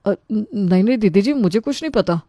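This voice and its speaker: A woman's voice: mid-range voice, lively intonation, fairly quick, warm tone, no room sound, clean studio sound. A woman voicing an anxious middle-aged father in a storybook tale, earnest and fretful. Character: anxious middle-aged family man, timid before his sister.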